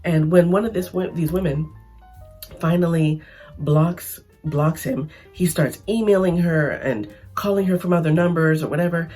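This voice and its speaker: A Canadian woman talking through an opinion vlog in a chatty, emphatic way, hoarse from losing her voice. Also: fairly low pitch, normal speed, dark tone, faint music behind. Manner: chatty, emphatic, frequent restarts. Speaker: vlogger.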